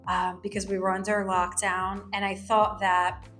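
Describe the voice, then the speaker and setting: A woman's voice: medium pitch, flat delivery, normal speed, a bit bright. A woman who grew up in New Jersey, a lawyer, telling her personal story in a reflective, conversational way with many fillers. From United States, grew up in New Jersey. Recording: echoey room with faint music.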